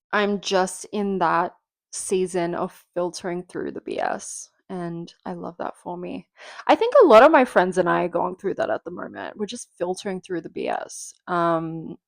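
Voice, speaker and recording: A woman in her twenties chats her way through a home-recorded podcast, rambling and full of fillers. Her voice has a gravelly, fry-tinged texture and sounds a little nasal from a cold. The sound is close and dry, with no room echo. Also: mid-range voice. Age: 20s. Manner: chatty and rambling, with frequent fillers and laughs. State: sick and a bit nasal. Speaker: podcast host. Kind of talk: casual solo chat.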